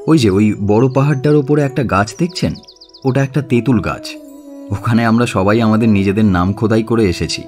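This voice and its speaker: A man's voice: fairly low pitch, normal speed, darkish tone, faint music behind, lightly acted. A man playing an eager, polite and chatty young college student in a Bengali radio drama. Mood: polite, helpful.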